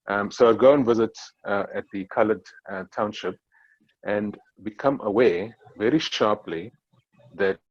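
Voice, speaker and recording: South African male panelist, low-pitched, hesitant, lo-fi dry call audio. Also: no echo. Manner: halting, many fillers and restarts. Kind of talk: reflective panel discussion. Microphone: video call.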